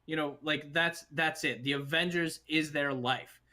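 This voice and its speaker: A man's voice: fairly high pitch, steady tone, a little slow, bright, forceful tone. Young man chatting casually, earnest. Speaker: podcast co-host. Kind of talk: casual group film discussion.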